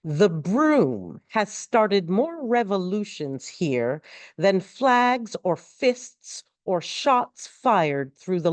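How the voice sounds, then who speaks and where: A woman reading poetry aloud in a measured recitation, with deliberate and expressive phrasing. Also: medium pitch, dry recording. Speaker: poetry reader.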